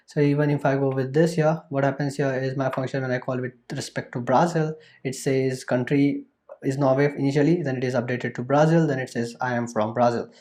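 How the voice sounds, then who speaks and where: Casual coding tutor, a man of middle pitch who rambles through examples with fillers. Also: darkish tone. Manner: loose, repetitive explaining with many fillers and restarts. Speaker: programming tutor. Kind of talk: screen-recorded coding tutorial.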